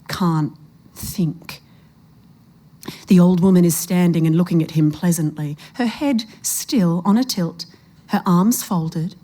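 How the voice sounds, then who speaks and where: A woman's voice: on the low side, dark tone. A woman narrating a suspenseful short story in a literary reading style.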